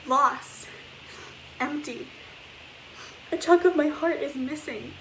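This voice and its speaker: High-voiced melodramatic teen girl played by a woman. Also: fairly bright tone, slight background noise, rough recording. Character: self-centred teenage girl performing showy grief. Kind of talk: amateur stage drama monologue.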